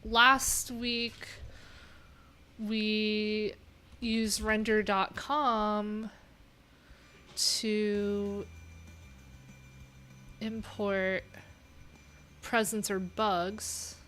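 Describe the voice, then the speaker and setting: A woman's voice: mid-range voice, level tone, very slow, pauses often, bright, forceful tone, a little hiss or hum. A young adult woman hosting a coding livestream, talking casually and rambling with lots of fillers, with faint music in the background. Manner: chatty, rambling, many "like"s and "um"s.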